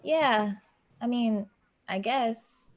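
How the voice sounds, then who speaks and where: Casting: woman playing friendly young front-desk worker in a stage drama; unhurried pace, slightly high voice, gentle and hesitant. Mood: easygoing. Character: friendly, shy 23-year-old woman working as lobby attendant. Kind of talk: naturalistic acting in an online staged play reading. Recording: dull, lo-fi online recording.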